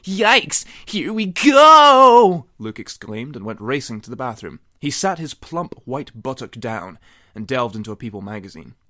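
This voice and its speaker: A man's voice: lowish voice, normal speed, no echo, clean studio sound. A man narrating comedic fan fiction in a mock-serious, theatrical way with wry asides. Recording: music behind the voice. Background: music.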